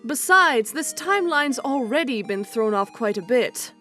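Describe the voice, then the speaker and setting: A woman's voice: fairly high pitch, expressive, a little slow, pushed and bright. A woman voicing a watchful, detached messenger in a comic dub, musing aloud in a conversational way. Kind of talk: amateur comic dub monologue. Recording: music bed under the voice.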